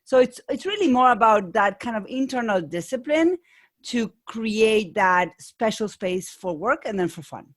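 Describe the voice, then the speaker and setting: A woman's voice: a little high, lively intonation, normal speed. A middle-aged woman with an Argentine accent, a business coach speaking as an interview guest, thoughtful and often restarting her sentences. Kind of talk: conversational interview.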